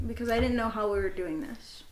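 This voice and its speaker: A woman's voice: medium pitch, fairly quick, a little hiss or hum. A young woman co-hosting a podcast, chatting casually and giggling in a goofy, self-mocking way. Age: young adult. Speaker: podcast co-host. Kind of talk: casual chat between best friends, with bits of journal reading. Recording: roomy, echoey indoor sound.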